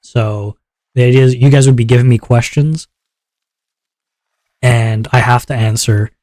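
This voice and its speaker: A man's voice: unhurried, dry recording. Chatty streamer man, dry close mic. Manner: upbeat, chatty, checks in with chat often; relaxed lower voice after a viewer redeem. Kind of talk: casual livestream chat explaining rules.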